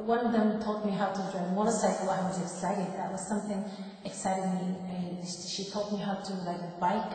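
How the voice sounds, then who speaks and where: A woman in her thirties with a Japanese accent tells her own story in careful, halting English, often stopping to find the right word. Her mid-pitched voice rings through a big, echoing room with a long reverb tail. The mic sits well away from her. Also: mellow, far from the mic. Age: 30s. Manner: halting, searching for words in a second language. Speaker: interviewee telling her life story. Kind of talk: personal testimony.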